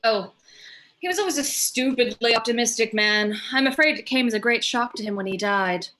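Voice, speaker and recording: Woman playing a sharp-voiced, dry widow. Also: fairly high pitch, brisk. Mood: dry, cool. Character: cool, unsentimental, morbidly dry widow with dark secrets. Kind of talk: live table read of a film script.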